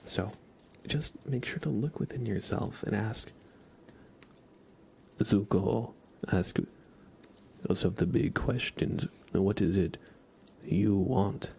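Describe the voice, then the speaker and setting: A man speaks in a lowish, gravelly voice at a very unhurried pace, as an ASMR host would. His soft, intimate delivery often drifts into whispering, close on the mic, with faint noise behind it. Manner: gentle, drawn-out delivery slipping into whispers.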